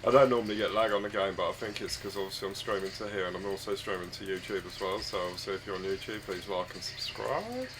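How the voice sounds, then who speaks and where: A man with a husky, croaky voice, hoarse from a chest cold, chatting casually and rambling hesitantly while streaming a game from home on a desk microphone. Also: low-pitched, quick pace, hardly any pauses, background noise. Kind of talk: casual live-stream chat. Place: home room with his dog.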